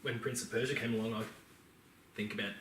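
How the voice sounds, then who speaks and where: A man's voice: fairly low pitch, fairly even intonation, quick pace, fairly bright tone, far from the mic. A man co-hosting a podcast, speaking in a casual, conversational style. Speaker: podcast co-host. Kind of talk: casual chat. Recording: roomy echo, off-mic, faint noise.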